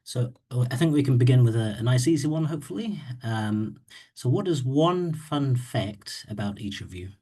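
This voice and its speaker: A man's voice: medium pitch, expressive, moderate pace, warm tone, slightly rough. A man, hosting a webinar in an easygoing way with many ums. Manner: easygoing, with frequent ums and uhs. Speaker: webinar host, moderator. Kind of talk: moderating a webinar.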